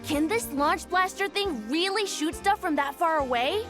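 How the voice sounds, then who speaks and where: A woman's voice: very high-pitched, lively intonation, moderate pace, over music. A woman playing an eager, curious, wide-eyed young child in a video game. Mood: awed, curious.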